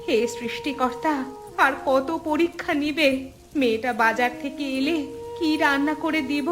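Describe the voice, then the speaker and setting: A woman's voice: high voice, normal speed, music in the background, lightly acted. A woman voicing a poor, worried village wife and mother in a folk-tale cartoon, plaintive and caring. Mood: pleading, despairing.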